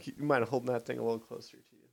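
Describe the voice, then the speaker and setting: A man's voice: medium pitch, little pitch movement, very fast, creaky, a little mellow, slightly rough. Man, podcast host, casual interview chat, short easygoing reactions.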